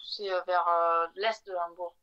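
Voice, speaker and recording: A woman's voice: fairly low pitch, fairly even intonation, unhurried, sharp tone, clean tone, lo-fi sound. A woman guest telling her story in a casual interview, hesitant with many fillers. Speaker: guest, chocolatier.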